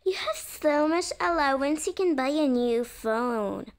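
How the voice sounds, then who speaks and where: A high-voiced, whiny young sister from a cartoon family roleplay, acted by a woman. She is dramatic and quick to protest, with drawn-out delivery. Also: animated. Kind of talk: animated roleplay story acting.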